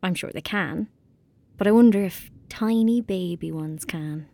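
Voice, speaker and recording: A woman's voice: lowish voice, measured pace, gravelly, mellow, dry recording. Lonely irish country girl in a radio play, blunt and dreamy, very dry close sound. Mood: tender, wistful. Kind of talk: audio drama acting.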